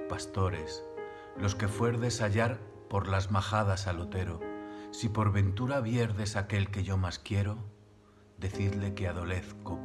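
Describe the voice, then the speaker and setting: Low-voiced man reciting poetry over music. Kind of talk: solemn recitation of classical mystical verse.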